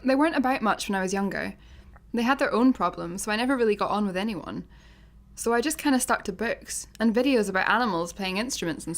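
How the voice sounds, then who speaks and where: A woman's voice: fairly high pitch, lightly acted. A woman with a Scottish accent playing a blunt, guarded young adventurer with dry sarcasm in a sci-fi comedy radio play. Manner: casual, dry.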